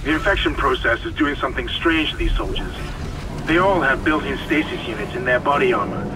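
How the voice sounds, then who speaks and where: A man playing a battered, gruff, resolute spaceship commander in a video game, talking in an urgent, clipped way. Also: medium pitch, fairly quick, noisy background, close to an everyday voice. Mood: grim, urgent.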